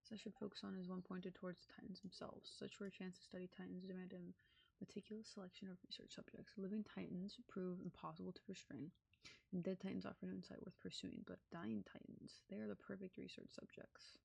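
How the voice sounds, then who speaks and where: A woman's voice: lowish voice, quick pace, creaky, a little mellow, breathy edge, dry recording, low-quality recording. A young woman live streaming a playthrough, reading game text aloud and reacting in a casual, sweary way.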